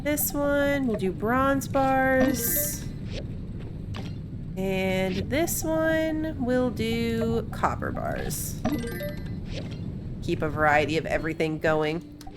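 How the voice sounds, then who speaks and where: Female let's play host, relaxed slow pace with lively intonation, casually talking through gameplay over music. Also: fairly high pitch. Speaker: gaming video host. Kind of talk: casual let's play commentary, thinking aloud. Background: game music.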